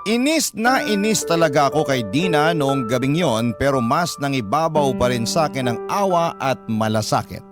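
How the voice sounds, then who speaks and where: A man tells a scripted first-person story in the manner of a radio drama narrator. His pitch is medium, and music plays clearly behind him. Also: expressive, moderate pace, few pauses. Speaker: storyteller on a love stories radio show. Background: background music.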